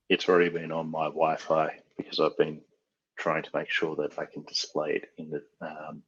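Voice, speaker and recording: A man from Australia leads an IT training session, talking through each setup step in a relaxed, loose way with plenty of "um"s. His voice sits very low and a little husky. The audio sounds muffled and lo-fi. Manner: casual, thinks aloud with fillers. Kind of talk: screen-share tech tutorial.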